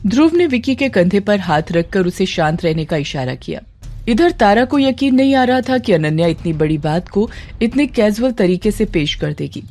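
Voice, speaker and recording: Mellow female horror-story narrator over music. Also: medium pitch. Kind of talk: dramatic scripted story narration. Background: music under the voice.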